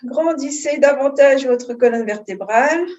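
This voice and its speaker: A woman teaching yoga, giving calm, unhurried and soothing guidance on posture and breathing. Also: high-pitched, dull audio. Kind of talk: guided yoga and breathing instruction.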